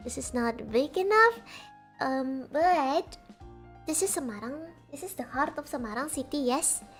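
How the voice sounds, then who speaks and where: Sleepy, giggly high-voiced young woman. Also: animated, measured pace, faint music behind. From Indonesia. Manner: playful, giggly, talks to chat and her map. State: sleepy, slightly hoarse morning voice. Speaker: streamer host. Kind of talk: casual educational livestream chat.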